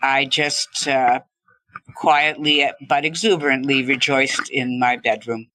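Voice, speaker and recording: A woman's voice: extremely low pitch, fairly even intonation, unhurried, bright, forceful tone, no echo, poor audio. A woman talking reflectively as a podcast guest, earnest and appreciative. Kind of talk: reflective interview talk.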